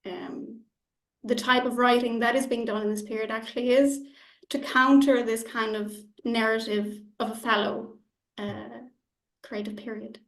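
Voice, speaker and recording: Female academic editor, fairly high voice, careful explanatory delivery, very roomy sound. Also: steady tone, unhurried, gravelly. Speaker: academic journal issue editor. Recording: echoey room sound.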